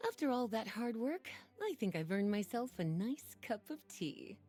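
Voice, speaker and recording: A woman playing a languid, teasing adult librarian in a video game, poised and breathy. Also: a little high, very animated, normal speed, dark tone, soft background music. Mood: composed. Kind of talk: animated video game voice acting.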